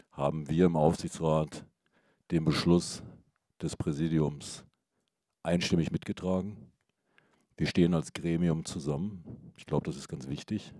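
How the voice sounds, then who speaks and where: An older man speaking as a supervisory board chair in a measured, formal way at a press conference table mic. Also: rumbling low, dark tone, no room sound, clean studio sound. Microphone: table microphone.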